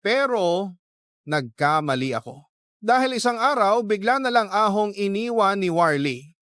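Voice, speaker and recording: Smooth, slightly high male storyteller. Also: fairly bright tone, no echo, studio quality. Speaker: radio drama host and story reader. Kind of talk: dramatic first-person letter narration.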